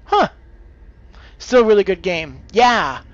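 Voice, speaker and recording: High-voiced man streaming a game. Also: very animated, takes it slowly, lo-fi sound. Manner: hesitant, thinking aloud, exclaiming at the game. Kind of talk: casual live gaming commentary with tips for a fellow player.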